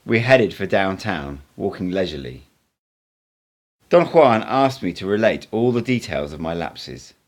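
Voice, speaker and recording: A man, an amateur reader, narrating an audiobook in an expressive storytelling style. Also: deep voice, a little slow.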